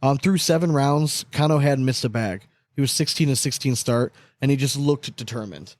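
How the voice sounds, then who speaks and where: A man's voice: mid-range voice, moderate pace, dry recording. A man co-hosting a podcast, chatting casually about sports with strong opinions and frequent swearing. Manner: animated, opinionated, swears often. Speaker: podcast co-host.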